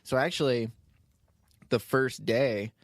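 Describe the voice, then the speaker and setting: A man's voice: mid-range voice, slow pace, no echo, clean studio sound. An American man co-hosting a podcast, telling a rambling anecdote casually and quoting the dialogue as he goes. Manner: rambling, chuckling, quotes dialogue. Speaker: podcast co-host.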